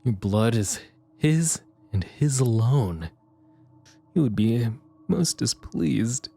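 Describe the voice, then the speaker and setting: Casting: male actor as a predatory ghoul, husky and dragging, lilting menace, audio drama. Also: medium pitch, animated, warm tone, heavily acted. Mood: menacing croon. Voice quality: husky, drawn-out hiss. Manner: crooning, predatory, savoring each word. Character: slow, menacing ghoul servant craving blood. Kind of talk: ASMR roleplay audio drama.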